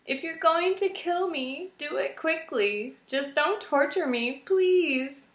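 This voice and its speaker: A woman's voice: high voice, slow pace, reverberant, voice pushed up. A woman voicing a small, timid female mouse in a children's storybook, fearful and earnest, pleading and stammering. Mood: terrified, pleading.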